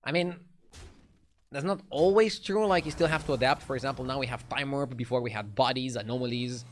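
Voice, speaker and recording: A man's voice: mid-range voice, fairly quick. A young man with a light Romanian accent, casually chatting with his live-stream audience while playing a card game. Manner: laid-back, chatty, answers chat between plays.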